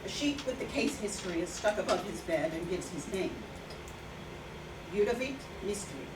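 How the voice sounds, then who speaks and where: A woman playing a haunted former nurse recounting her memories in a halting, reflective monologue at a staged reading. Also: medium pitch, animated, noisy background, close to an everyday voice. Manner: halting, with repeated words. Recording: roomy, distant mic, music behind.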